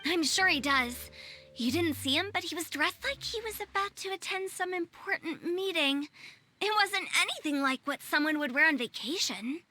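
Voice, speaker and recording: A playful young female director in a video game, with a very high, girlish pitch. The delivery is breezy, teasing and sure of itself, over clearly audible music. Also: animated, moderate pace, bright, forceful tone, heavily acted. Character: playful, self-assured young female funeral parlor director. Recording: music behind the voice.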